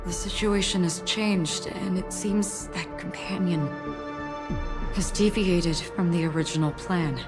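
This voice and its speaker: A woman's voice: fairly low pitch, fairly even intonation, a little slow, music in the background, natural voice. An actress playing a serious, inquisitive woman investigator in a video game, with an earnest and analytical delivery. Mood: serious, puzzled. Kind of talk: scripted video game dialogue.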